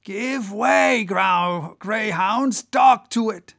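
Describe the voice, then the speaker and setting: A reader as a stern, forceful whaling first mate. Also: medium pitch, normal speed, lightly acted. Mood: indignant, intense. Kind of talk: audiobook character voice.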